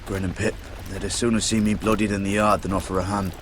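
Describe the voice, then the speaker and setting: An actor playing an earnest, kindly young sworn brother who mentors recruits in a fantasy video game, grave and measured. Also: male voice, low voice, little pitch movement, a little hiss or hum, close to an everyday voice. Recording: noticeable game ambience behind.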